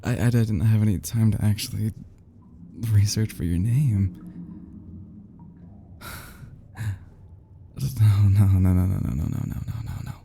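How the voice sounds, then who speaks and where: Guy playing desperate yandere kidnapper in asmr roleplay, very dark mellow voice, gravelly, stammers a lot, some hiss. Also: lowish voice. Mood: rattled, disbelieving. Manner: halting, stammering, breathy nervous chuckles, often dropping to whispers. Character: obsessive lovesick male kidnapper, desperate and unstable. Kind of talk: intimate audio roleplay, ASMR acting.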